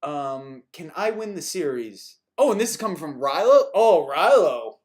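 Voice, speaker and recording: Man from New York, slightly high voice. Loose, chuckling live-stream host, mildly exasperated with repeat questions. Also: sing-song, moderate pace. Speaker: animation creator answering viewer questions.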